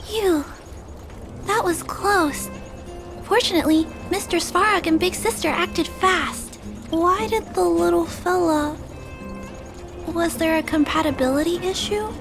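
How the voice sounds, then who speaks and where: Gentle, high-pitched little girl. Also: a little slow. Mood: relieved. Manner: polite, earnest, gentle phrasing. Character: gentle, caring, soft-hearted young girl. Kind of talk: video game voice acting. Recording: game music behind the voice.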